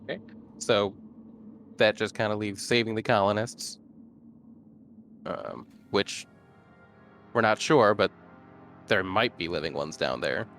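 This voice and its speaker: A man's voice: on the low side, unhurried, long pauses, a bit bright, soft background music. A man talking casually as a player in a tabletop roleplaying game.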